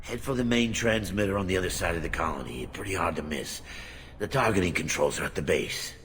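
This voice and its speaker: An actor playing a bitter, distrustful colony mechanic in a video game, with a gruff, sullen, grumbling manner. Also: male voice, low-pitched, brisk, a bit bright, slight background noise. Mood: bitter, grudging. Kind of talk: video game dialogue acting.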